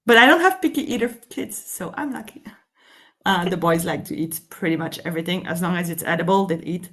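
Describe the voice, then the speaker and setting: A woman's voice: lowish voice, fairly quick, fairly bright tone. A woman from Belgium with a Belgian French accent, chatting casually as co-host of a live stream, with lots of "um" and "like". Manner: chatty, laughs often, fillers like "um" and "like". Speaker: summit host.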